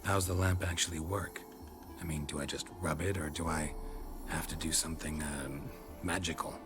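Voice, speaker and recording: An actor playing a stoic veteran monster hunter in a video game, talking in terse, dry, sardonic lines. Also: male voice, rumbling low, steady tone, normal speed. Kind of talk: video game cutscene acting. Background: game soundtrack music.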